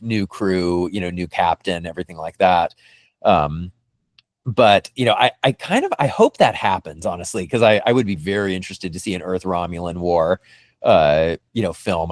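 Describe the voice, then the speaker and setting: Low-voiced man chatting, dry close sound. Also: no room sound. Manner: rambling, peppered with "you know" and "like". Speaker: podcast co-host. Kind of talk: casual speculative film and TV discussion.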